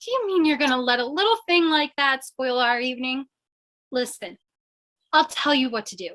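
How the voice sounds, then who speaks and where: A bold, quick-witted dame from an old-time radio thriller, played by a woman, with a high, sharp voice that swings widely in pitch. She is theatrical and persuasive, a schemer who knows how to work on people. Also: expressive. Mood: brazen, seductive. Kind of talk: 1940s radio comedy-thriller acting.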